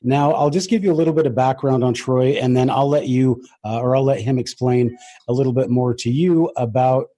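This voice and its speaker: A man hosting a podcast in a conversational way, with a somewhat halting delivery. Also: medium pitch, steady tone, warm tone, no echo. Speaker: podcast host.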